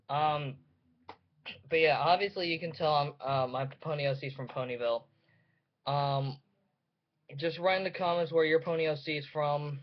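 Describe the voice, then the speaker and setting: A young man with a fairly high voice hosts a casual reaction video. He rambles hesitantly, cutting himself off and putting himself down. The webcam sound is dull and lo-fi. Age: young adult. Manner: hesitant, self-deprecating, breaking off mid-sentence. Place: indoors in a room at home. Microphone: webcam or computer mic.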